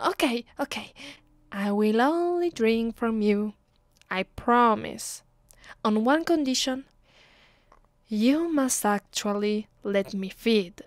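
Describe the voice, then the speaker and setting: Woman doing an asmr vampire girlfriend, italian accent, soft n clingy, highish voice, real dry close sound. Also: no echo, heavily acted. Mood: pleading, playful. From Italy. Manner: soft, intimate, hesitant. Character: affectionate, clingy, playfully pleading young female vampire girlfriend. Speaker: voice actress.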